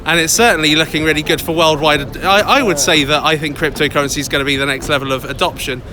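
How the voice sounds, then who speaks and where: An English man in a loud, chatty, upbeat manner, vlogging live from a busy city street into a handheld phone. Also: a little high, fairly even intonation, fast. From England. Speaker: crypto vlogger. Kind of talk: casual live vlog. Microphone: phone held by hand, close. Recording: outdoor street noise. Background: city traffic and passers-by.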